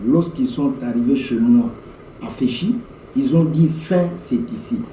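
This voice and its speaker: A man's voice: medium pitch, a little slow. Congolese man, political interviewee, emphatic and repetitive, roomy echo, faint noise. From Democratic Republic of the Congo. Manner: emphatic, repeats words and phrases. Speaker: political party leader being interviewed. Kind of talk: impassioned political interview answers. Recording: echoey, muffled, faint background noise.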